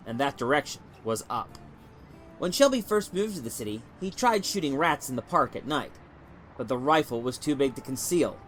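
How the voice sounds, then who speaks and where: A man reading a short story as scripted narration, in a measured, dramatic storytelling manner. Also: on the high side. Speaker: story reader.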